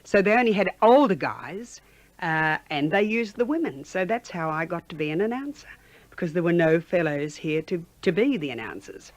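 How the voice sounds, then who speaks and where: A woman's voice: fairly low pitch, animated, moderate pace, no room sound. A woman, a former radio announcer, chattily recalling her early career in an interview. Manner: rambling, conversational recollection.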